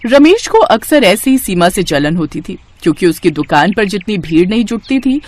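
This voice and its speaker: Female narrator, scripted moral tales, animated melodic delivery. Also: medium pitch, normal speed.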